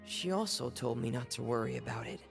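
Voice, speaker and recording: A shy, polite young man from a video game, halting and soft-spoken. His voice has a creaky edge, with music playing behind him. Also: mid-range voice, expressive. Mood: subdued. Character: timid, polite young man recovering from an ordeal. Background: background music.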